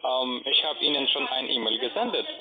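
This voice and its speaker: A man with a foreign accent, a non-native speaker, making a halting, hesitant sales pitch as a call-centre agent. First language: not German. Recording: muffled phone line, busy call-centre chatter.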